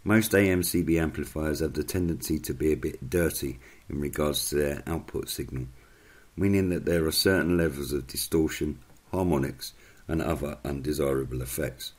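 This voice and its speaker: A man reading a scripted explainer narration in a measured, instructional way. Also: rumbling low, a little mellow.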